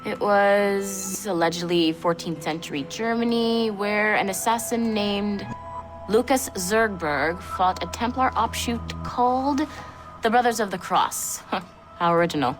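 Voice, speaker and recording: A woman's voice: mid-range voice, measured pace, natural voice, rough recording. An actress playing a skeptical intelligence analyst in a game audio log, casual, blunt and sardonic with her boss. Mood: breezy, wry. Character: wry, skeptical female intelligence analyst, loyal subordinate. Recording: music under the voice.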